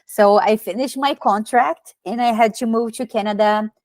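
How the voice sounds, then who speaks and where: A woman in her thirties from Brazil with a Brazilian Portuguese accent, a podcast guest telling her story in casual, animated chat. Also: on the high side, normal speed, no echo. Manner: many fillers and restarts, animated storytelling.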